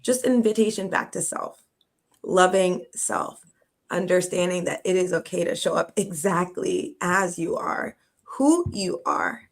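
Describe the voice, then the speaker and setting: A woman speaking in a heartfelt, measured way on a panel discussion, slowing down to stress her points. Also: on the low side, creaky. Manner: heartfelt, measured, drawn-out emphasis.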